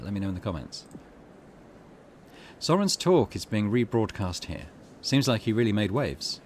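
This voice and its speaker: A man's voice: medium pitch, a little hiss or hum. A man hosting a gaming channel, reading game text aloud and adding musing commentary, with faint game ambience behind him.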